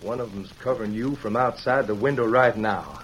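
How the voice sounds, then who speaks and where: An actor playing a crooked, scheming rancher in an old-time radio western. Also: male voice, lowish voice, a little fast, lightly acted. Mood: defiant. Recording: dull, noisy vintage radio recording.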